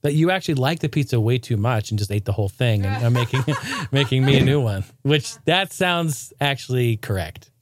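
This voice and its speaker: A man hosting a casual chat, excitable and quick to laugh, often calling people dude. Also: mid-range voice, a crowd in the background.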